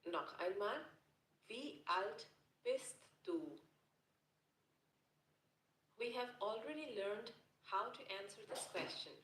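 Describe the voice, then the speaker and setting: A woman teaching German phrases in a scripted lesson narration, repeating each phrase slowly and carefully. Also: medium pitch, expressive, a bit bright. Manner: slow, careful repetition of phrases. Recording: roomy echo.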